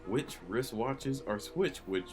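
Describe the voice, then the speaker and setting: A man in a casual group podcast, slow and wry, making fun of his own stumbles. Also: medium pitch, expressive, soft background music.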